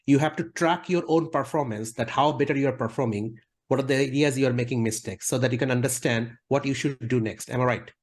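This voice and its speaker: A man with a mid-pitched voice, leading an interview. He speaks politely and at length, often restating what his guest has just said. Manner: polite, summarizing and wordy. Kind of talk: casual interview.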